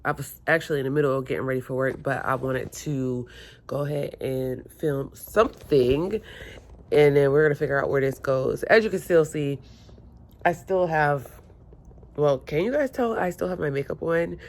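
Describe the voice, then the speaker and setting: A woman's voice: low-pitched, normal speed. Chatty hungover woman vlogging at home. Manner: chatty, rambling, self-mocking. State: stuffy and hungover. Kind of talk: casual chat to camera. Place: home room by windows. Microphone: phone held or propped.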